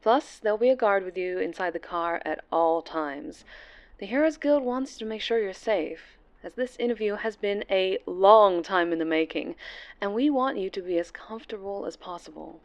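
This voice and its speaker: A woman's voice: mid-range voice, normal speed, close to an everyday voice. A woman playing a cheerful, polished interview producer in an audio roleplay, bubbly and reassuring. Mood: friendly, reassuring.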